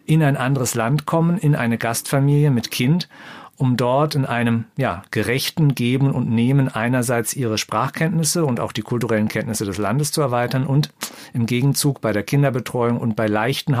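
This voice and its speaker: A man leading an interview in German, speaking in a measured, informative way. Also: mid-range voice. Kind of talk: interview conversation.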